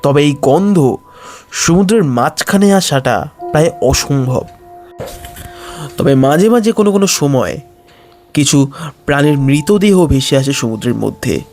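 A man tells a scripted horror story with suspense. His delivery is very unhurried and his voice has a creaky, gravelly edge, with music playing behind it. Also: on the high side, expressive, darkish tone. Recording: music bed under voice.

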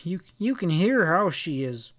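A man's voice: high voice, big pitch swings, unhurried, a bit bright, pitched above the performer's own voice, heavily acted. A man voicing an anxious, stubborn frontier husband in a storybook western, with rustic, hesitant, stammering talk. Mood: worried.

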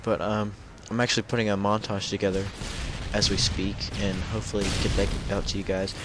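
Hoarse teen boy over loud gunfire. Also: fairly low pitch, little pitch movement, fairly quick, heavy background noise. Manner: rambling, self-correcting, with frequent restarts. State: hoarse and sick. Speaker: gameplay commentator. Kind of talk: casual gameplay commentary. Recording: loud gunfire from the game behind.

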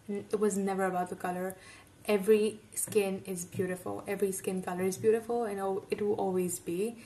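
A woman's voice: medium pitch, a little mellow. An outspoken young woman from India gives casual reaction commentary, emphatic and talking with her hands. English is not her first language. She sits a little back from her earphone mic in a home bedroom, so her voice sounds slightly distant. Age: young adult. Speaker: reaction video host.

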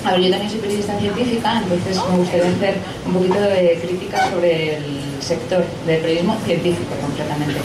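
A woman's voice: lowish voice, fairly even intonation, brisk, few pauses, distant mic. A woman with a Spain Spanish accent making a comment and putting a question from the audience at a live talk. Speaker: audience questioner, science journalist. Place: pub event room, indoors. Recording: echoey room from afar, clear background noise.

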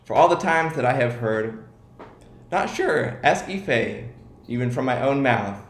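A man's voice: medium pitch, lively intonation, unhurried. A man in his twenties gives a prepared award tribute to a camera a few feet away at home. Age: 20s. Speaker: award presenter. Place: living room at home. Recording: echoey room.

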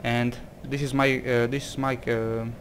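A man's voice: mid-range voice, level tone, unhurried, fairly bright tone, a little hiss or hum. Croatian-accented man narrating gameplay. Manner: reads game text aloud, hesitant, exclamatory. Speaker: gameplay commentator. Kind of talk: casual let's-play commentary.

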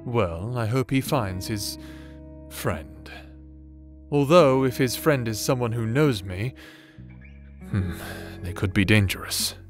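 A man's voice: mid-range voice, animated. A man playing a doting, theatrical necromancer husband in a romantic ASMR audio drama, teasing and formal in his diction. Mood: pensive. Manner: teasing, slow, formal old-fashioned diction. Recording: soft instrumental music bed behind voice.

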